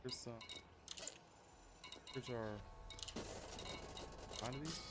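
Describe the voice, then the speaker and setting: A man's voice: mid-range voice, slow, heavy background noise. A man live streaming a space flight game, chatting casually with viewers and giving short voice commands. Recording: loud music over the voice. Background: loud music, vehicle engine sounds from the game.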